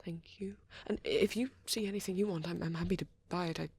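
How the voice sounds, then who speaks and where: Man, light high-pitched register for a male, husky breathy half-whisper, creaky edges, shy hesitant ASMR boyfriend roleplay. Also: fairly even intonation, brisk, a little mellow, no room sound. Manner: shy, hesitant, apologetic rambling. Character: shy, gentle young male vampire boyfriend on a date. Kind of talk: soft-spoken ASMR roleplay rambling, often whispered.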